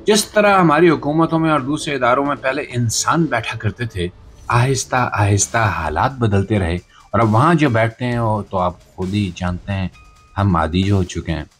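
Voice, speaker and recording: A man's voice: mid-range voice, moderate pace, faint music behind. A man with a Pakistani accent delivering satirical news commentary as a podcast host, in a sarcastic, theatrical, rhetorical manner.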